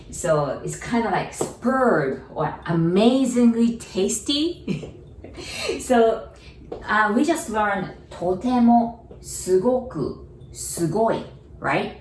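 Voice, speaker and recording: A woman's voice: mid-range voice, a little hiss or hum. A woman with a Japanese accent, a native Japanese speaker, teaching an online lesson, patiently repeating words and asking learners questions. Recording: echoey room, mic at a distance.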